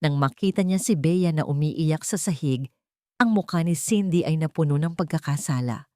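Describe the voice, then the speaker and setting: A woman's voice: low voice, moderate pace, mellow, dry recording, studio quality. A woman narrating a scripted dramatic love story.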